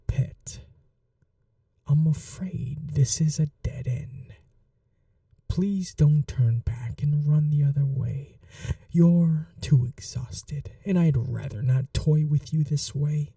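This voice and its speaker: A woman playing a cruel, possessive vampire mistress in an ASMR roleplay, speaking slowly in breathy half-whispers with menacing tenderness. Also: low-pitched, dark tone, no room sound, clean studio sound, recorded from a distance, full character voice. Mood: coldly tender. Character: cruel, possessive vampire mistress, silkily taunting her betrothed captive. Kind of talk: ASMR audio roleplay, dramatic acting.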